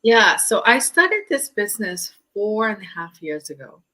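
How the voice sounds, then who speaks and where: A woman's voice: medium pitch, big pitch swings, normal speed, bright, forceful tone, poor audio. A woman in her fifties from Japan, with a Japanese accent, telling her personal story informally as an interview guest. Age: 50s.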